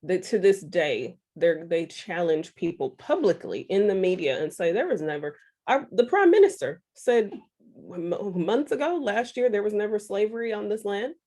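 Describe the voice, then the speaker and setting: A woman's voice: medium pitch, animated, moderate pace, mellow. A woman from Texas who co-hosts a radio show, speaking forthrightly and passionately as a guest on a live video call. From Texas, United States. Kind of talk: live online conversation.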